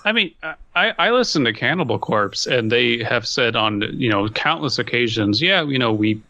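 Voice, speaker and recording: A man's voice: mid-range voice, animated, moderate pace, bright, forceful tone, no echo. A man co-hosting a podcast, giving his opinions in casual chat. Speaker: podcast co-host.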